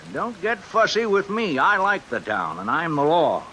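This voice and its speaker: A man's voice: fairly high pitch, very animated, moderate pace, sharp tone, poor audio. A man acting a condescending, folksy older constable in a 1950s radio drama. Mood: lecturing, wry. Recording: hissy old radio recording under rain sounds.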